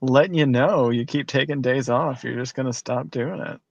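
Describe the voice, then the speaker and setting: A man with a gravelly voice co-hosts a relaxed online chat and speaks plainly and matter-of-factly. The sound is dull and lo-fi, with a dry, close feel. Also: mid-range voice, normal speed, dry recording. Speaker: co-host. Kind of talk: casual livestream chat. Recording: dry, dull lo-fi livestream audio.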